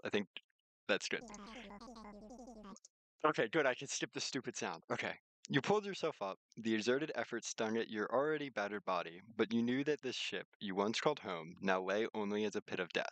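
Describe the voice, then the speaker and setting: A man's voice: medium pitch, a bit bright, dry recording. Man streaming and narrating, dry sound. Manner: informal, breaks off into asides. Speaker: streamer and visual novel developer. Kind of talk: casual stream chat mixed with read-aloud narration.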